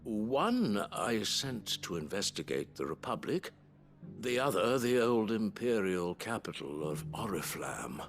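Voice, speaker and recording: A man's voice: on the low side, sing-song, a little slow. An actor playing a deferential spymaster advising his lord in a fantasy video game, formal and courteous in manner. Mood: grave, respectful. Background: music.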